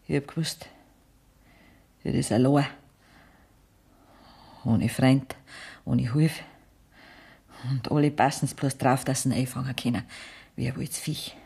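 A woman's voice: extremely low pitch, creaky, warm tone, husky, heavily acted. An actress playing the anguished middle-aged mother of an outlaw, telling her story in Bavarian dialect in a radio play.

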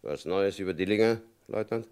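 Gravel-voiced FBI chief. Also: male voice, moderate pace, darkish tone. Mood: confident. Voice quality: deep gravelly voice. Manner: curt, confident, dry chuckles. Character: stern shrewd male FBI chief, middle-aged. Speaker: FBI chief in a radio play. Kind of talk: 1960s radio drama acting.

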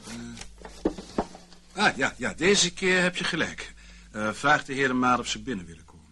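Casting: male actor for a fussy, mock-courteous official, mid-pitched and animated, old radio drama with clear noise. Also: a little slow, close to an everyday voice. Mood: genial, fussy. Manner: hesitant, repeats words, mock-courteous. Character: genial, dithering but quietly menacing male civil servant. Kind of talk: Dutch radio play acting. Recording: noisy old radio play recording, clicks.

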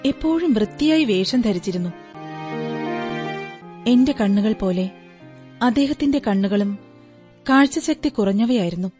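A woman's voice: a little high, lively intonation, measured pace, long pauses. A woman acting in a radio drama as an earnest, trusting young client, politely recounting her story in detail. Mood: fond, earnest. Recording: radio drama with background music. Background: music.

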